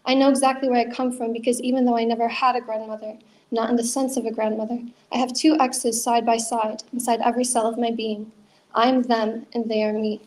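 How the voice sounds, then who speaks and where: Woman reading poetry, fairly high voice. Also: darkish tone. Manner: earnest, measured reading with chatty asides. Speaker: poet at open mic. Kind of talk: spoken word poetry reading.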